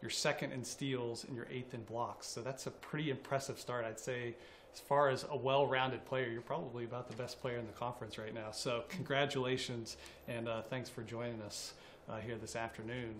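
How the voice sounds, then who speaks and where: A man's voice: mid-range voice, fairly even intonation, normal speed, few pauses, slight background noise. A man hosting a college sports interview, asking questions in a friendly, conversational way with fillers. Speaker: interviewer host.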